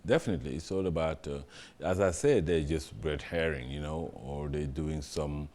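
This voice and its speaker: Deep-voiced Senegalese man, relaxed and halting. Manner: relaxed, hesitant with many fillers, tells anecdotes. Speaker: interview guest, TV general manager. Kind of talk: casual interview answers.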